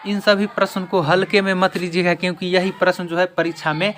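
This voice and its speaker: A man's voice: high-pitched, little pitch movement, fairly quick, a bit bright, a little hiss or hum. A man teaching an exam-prep lesson in question-and-answer form, emphatically repeating each answer.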